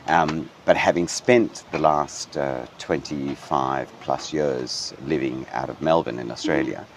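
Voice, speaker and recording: A middle-aged man from Denmark, a hotel general manager, speaking thoughtfully in a relaxed interview, with frequent ums and restarts. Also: very deep voice, measured pace, slight background noise. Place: hotel grounds.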